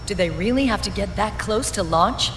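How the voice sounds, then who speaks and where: A woman's voice: mid-range voice, lively intonation, normal speed, background noise, lightly acted. An actress playing a tough, questioning female soldier, direct and challenging in a game cutscene. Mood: skeptical. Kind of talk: scripted game cutscene dialogue. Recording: long hall reverb. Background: loud music.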